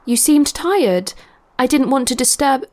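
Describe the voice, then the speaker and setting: A woman's voice: sits high, lively intonation, measured pace, darkish tone. A woman playing a dutiful teenage Viking warrior girl in an audio drama, careful and deferential as she speaks. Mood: uneasy, placating.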